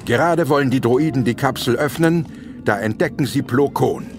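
Ein Mann liest als Erzähler den Text eines dramatischen Hörspiels. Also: male voice, medium pitch, moderate pace, over music. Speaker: narrator. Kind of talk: scripted dramatic audio drama narration.

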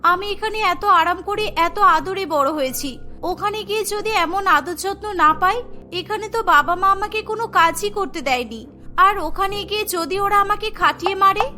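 A woman's voice: extremely high pitch, a little fast, pushed and bright, faint music behind. A woman voicing an anxious, pampered young bride-to-be in a cartoon story. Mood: worried.

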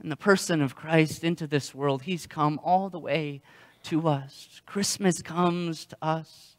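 A young man preaching a sermon as a pastor, telling stories in a halting way and often repeating words. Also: on the high side. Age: young adult. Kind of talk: sermon with storytelling. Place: church sanctuary, indoors. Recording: long hall reverb.

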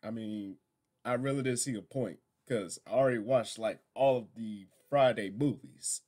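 A middle-aged American man hosting a reaction video in casual, halting, exasperated commentary. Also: medium pitch, darkish tone.

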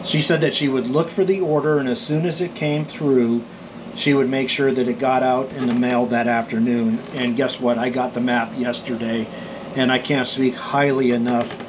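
A man's voice: mid-range voice, fairly even intonation, normal speed. Middle-aged guy walking through a map, casual and rambly, lots of ums, faint aircon hum behind. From New Mexico. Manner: easygoing and rambling, with many fillers and restarts. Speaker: motorcycle vlogger. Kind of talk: casual explanation of maps and route planning.

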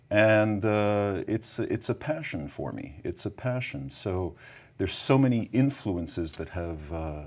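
A middle-aged man from Morocco, a guest musician answering interview questions in a reflective, storytelling way in a college TV studio on a lapel mic. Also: sits low. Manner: reflective, storytelling, with soft laughs.

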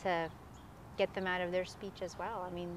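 A woman's voice: on the low side, flat delivery, normal speed, slight background noise. A middle-aged woman thinks aloud in an outdoor interview, often hedging with "I mean" as she works through an idea. Faint outdoor ambience sits behind her voice. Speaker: author interviewee. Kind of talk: thoughtful interview answers. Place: outdoors on a park lawn.

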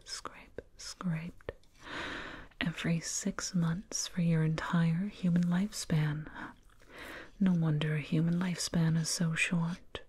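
A woman performing a whispered ASMR roleplay as a soothing, condescending alien dentist, breathy and close to a binaural microphone, repeating words rhythmically. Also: lowish voice, a little mellow. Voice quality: breathy, mostly unvoiced whisper. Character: soothing, condescending female alien dentist tending a human patient.

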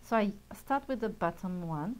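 Soft-spoken woman explaining beading steps. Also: mid-range voice, expressive, moderate pace, rough recording. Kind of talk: casual show-and-tell and beading tutorial. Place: bright studio set at a table.

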